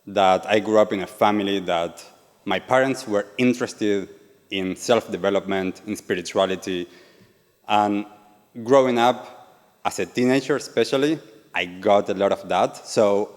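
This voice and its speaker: Argentine man speaking in a reverberant hall. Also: fairly low pitch. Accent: Argentine Spanish. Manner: earnest, asks the audience questions. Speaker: yoga and meditation teacher. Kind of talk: live talk to an audience. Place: event venue with an audience.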